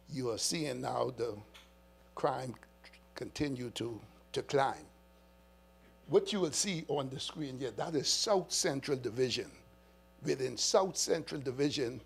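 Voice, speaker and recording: A man's voice: medium pitch, vocal fry, breathy edge. A Bahamian man, a police official, giving an earnest, emphatic press briefing as he walks through slides. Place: press conference room.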